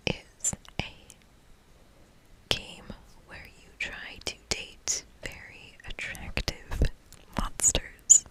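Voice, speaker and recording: This is an ASMR host playing through a video game, speaking entirely in a whisper. The delivery is very slow and soft, with an unhurried, chatty feel. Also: poor audio.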